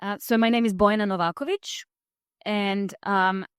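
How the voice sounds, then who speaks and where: A woman's voice: medium pitch, fairly even intonation, normal speed, clear, resonant tone, no room sound, studio quality. A woman who grew up in Australia, answering on a conference panel in a loose, hesitant way with many fillers. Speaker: panel speaker. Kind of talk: casual spoken answer. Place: conference hall.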